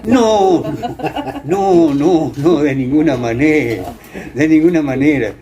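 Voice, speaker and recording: An older Argentine man with a mellow, relaxed voice reminisces in an informal interview. He feels his way through sentences, repeating words as he goes. Some ambient noise sits behind him. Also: fairly high pitch. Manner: halting, with repeated words and emphatic repetition. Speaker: interview guest, theater and singing teacher. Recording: clear background noise, ambient room sound.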